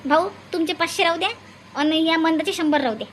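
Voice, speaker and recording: A woman's voice: extremely high pitch, fast talker, slight background noise. A woman voicing an outspoken, bossy farmer's wife in a cartoon, with a Varhadi Marathi accent. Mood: bargaining. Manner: bossy, argumentative.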